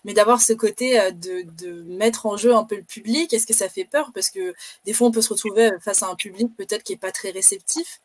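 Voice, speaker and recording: A woman's voice: mid-range voice, a little fast, hardly any pauses, no echo. A woman hosting a live careers stream, chatty and bubbly with many fillers.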